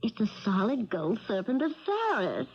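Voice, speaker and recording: An actress playing a spoiled young heiress in a 1970s radio drama, affected and effusive. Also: female voice, medium pitch, wildly swooping pitch. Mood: gushing. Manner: affected, effusive, drawn-out emphasis. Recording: dull vintage radio recording.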